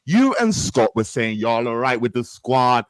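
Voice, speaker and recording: A man chatting about football, animated and repetitive, his voice leaping up when worked up. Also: unhurried, no room sound. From Nigerian heritage. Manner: animated, repeats himself, jumps high in pitch when excited. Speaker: show host. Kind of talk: casual football chat.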